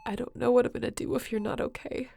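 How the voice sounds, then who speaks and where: A woman playing a tired, tender, worried young woman in an intimate sci-fi audio roleplay, speaking softly and earnestly. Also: a little high, little pitch movement, quick pace, gravelly, husky, exaggerated voice. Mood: tearful pleading.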